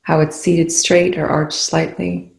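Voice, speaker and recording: A meditation instructor speaking in a soothing, unhurried way with long pauses, guiding a body awareness practice. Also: medium pitch, little pitch movement, gravelly. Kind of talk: guided meditation. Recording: echoey room.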